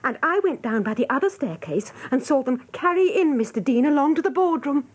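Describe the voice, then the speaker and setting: An actress playing a chatty, excitable English office typist, gushing and breathless in a radio drama. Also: female voice, high-pitched, brisk. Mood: excited, shocked. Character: chatty, excitable female office typist who loves gossip.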